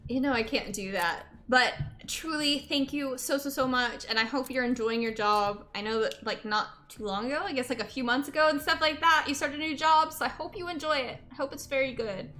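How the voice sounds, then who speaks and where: A young adult woman streaming a game and chatting with viewers, slightly hoarse, talking in a loose, rambling way full of "like" and repeated thank-yous. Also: high-pitched, a little fast, hardly any pauses, sharp tone. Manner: chatty, many filler likes, repeats thanks. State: a bit hoarse, sore throat. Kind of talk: casual chat while gaming.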